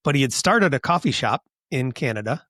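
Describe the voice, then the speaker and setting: A middle-aged man from Western New York hosting a podcast, relaxed and encouraging, with frequent fillers. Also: medium pitch, a little fast, dry recording, studio quality. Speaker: podcast host. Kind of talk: casual podcast interview.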